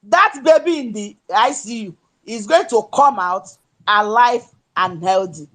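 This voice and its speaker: A Nigerian woman giving an impassioned political speech in an audio chat room, emphatic and at times shouting. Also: on the high side, animated, unhurried. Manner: emphatic, rises to shouts, pidgin-tinged. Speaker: speaker in a political audio space.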